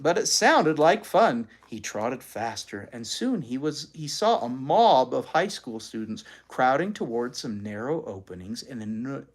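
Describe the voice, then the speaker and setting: A host reading a children's book aloud in an animated, folksy way, pausing for chatty explanations. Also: mid-range voice. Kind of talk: storybook read-aloud with chatty asides.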